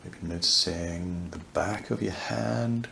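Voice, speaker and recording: A man leading a guided yoga nidra relaxation, speaking softly and soothingly, unhurried, with long pauses. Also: sits low, gravelly. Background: crackling fireplace ambience.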